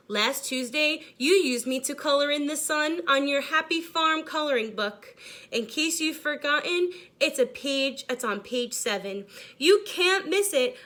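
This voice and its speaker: Boastful yellow crayon, played by a woman, high voice, insistent, storybook read-aloud. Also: exaggerated voice. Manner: self-important, insistent.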